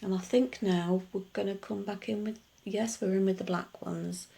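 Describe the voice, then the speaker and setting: A middle-aged woman talking through a nail art tutorial in a chatty, rambling way, her voice gruff from just waking up. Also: mid-range voice, steady tone, moderate pace, warm tone. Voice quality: gruff, gravelly. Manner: chatty, rambling explanations with many asides. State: just woken up. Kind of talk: casual tutorial voice-over.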